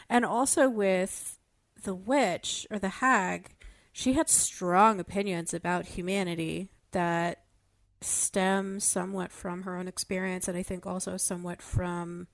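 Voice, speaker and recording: A woman's voice: on the low side, no echo. A woman talking through her theories in a casual, analytical discussion, thoughtful and careful as she builds each point. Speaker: podcast panelist discussing a fiction show.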